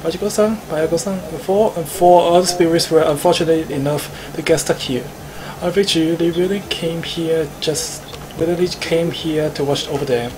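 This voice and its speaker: A man voicing a calm protagonist in a live visual novel reading, measured and explanatory. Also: on the high side, noisy background, close to an everyday voice. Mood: explaining. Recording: clear music behind the voice.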